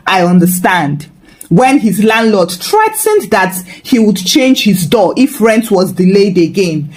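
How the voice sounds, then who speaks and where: A woman with a Nigerian accent narrating a scripted folktale in an expressive, humorously dramatic way. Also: mid-range voice. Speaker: storyteller, narrator.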